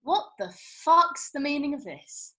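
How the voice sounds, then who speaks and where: A woman's voice: high-pitched, very animated, unhurried, sharp tone, full character voice. An actress playing a blunt, indignant young British woman with colloquial, sweary speech in a comedy script read. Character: blunt, indignant young woman, film-loving Austen festival-goer.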